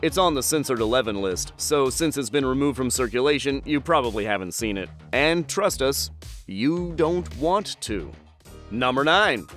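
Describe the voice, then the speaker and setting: A man's voice: medium pitch, fairly bright tone. Wry male countdown narrator. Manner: wry, dry asides.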